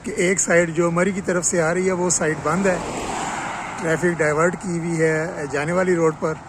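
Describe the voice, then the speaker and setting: A man's voice: fairly high pitch, level tone, moderate pace. A man with a Pakistani accent giving a matter-of-fact, explanatory on-site road update as a vlogger outdoors. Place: outdoors on a roadside by construction works. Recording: loud outdoor traffic and vehicle noise. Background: traffic, vehicles, outdoor ambience.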